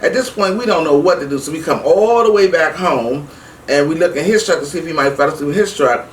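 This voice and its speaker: A middle-aged woman telling a personal story casually to her viewers, emphatic and animated, acting out the dialogue as she goes. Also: deep voice, fast talker. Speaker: vlog host. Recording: roomy, distant mic with faint noise.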